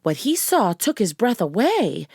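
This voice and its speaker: Slow, expressive woman reading a children's book. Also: mid-range voice, slightly rough, no echo, studio quality. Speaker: storybook reader. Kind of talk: children's book read-aloud, scripted narration.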